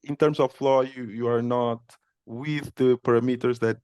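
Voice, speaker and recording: A man's voice: medium pitch, fairly even intonation, normal speed, a little mellow, clean tone, no room sound. A man from Cape Verde, a podcast guest, talking casually and hesitantly, with many fillers and restarts. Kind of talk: casual interview.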